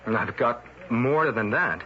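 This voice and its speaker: An actor playing a dogged, worried newspaper reporter in an old-time radio drama, low-key and earnest. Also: male voice, expressive, unhurried, natural voice. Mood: insistent, worried. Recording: dull, very noisy old radio broadcast.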